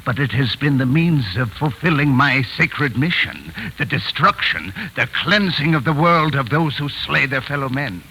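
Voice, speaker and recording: An actor playing a fanatical, self-righteous mad artist in a 1940s radio thriller, with a theatrical, eerily self-assured and insistent delivery. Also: male voice, medium pitch, animated, moderate pace, hardly any pauses, pushed and bright, husky, full character voice. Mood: calm, fanatical. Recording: noisy vintage 1940s radio broadcast.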